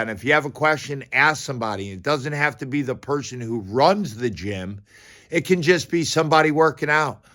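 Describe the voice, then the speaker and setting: A man's voice: mid-range voice, a bit bright. A man in his fifties giving casual motivational fitness talk, speaking in an informal, blunt and encouraging way with slangy turns of phrase. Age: 50s. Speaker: fitness vlogger. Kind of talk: casual motivational talk to camera during a morning workout.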